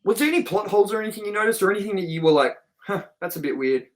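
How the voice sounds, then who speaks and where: A man's voice: high voice, lively intonation, very fast. A man hosting a casual film review chat, speaking in an informal, chatty way with some swearing. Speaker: podcast host and film reviewer.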